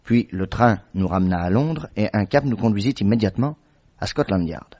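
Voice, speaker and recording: A man narrating a radio drama in a measured, steady way as the detective's companion. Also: fairly low pitch. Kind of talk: scripted narration. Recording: dull vintage radio recording.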